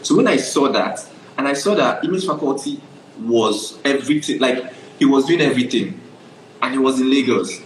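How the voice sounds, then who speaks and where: A man's voice: medium pitch, normal speed, a little hiss or hum. Nigerian man telling his story, echoey. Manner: animated storytelling, often asks "do you understand?". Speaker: guest photographer. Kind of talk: casual interview.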